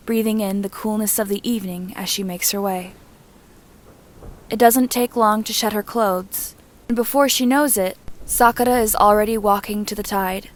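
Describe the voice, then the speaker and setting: A woman reading a story aloud as scripted narration, in a measured, descriptive manner like an audiobook reader. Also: medium pitch. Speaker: reader and narrator of fan fiction.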